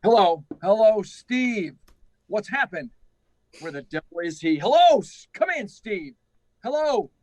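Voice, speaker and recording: A man's voice: high voice, very animated, unhurried, a little mellow, no room sound. A man playing an anxious, commanding flight controller with an urgent manner, in radio drama style. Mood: alarmed, urgent.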